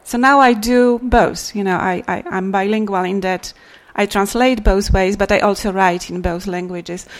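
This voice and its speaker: A middle-aged woman from Poland with a Polish accent, a native Polish speaker, answering audience questions as a poet in a relaxed, reflective way with soft laughs. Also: mid-range voice, normal speed, few pauses. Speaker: poet and translator. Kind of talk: Q&A after a poetry reading.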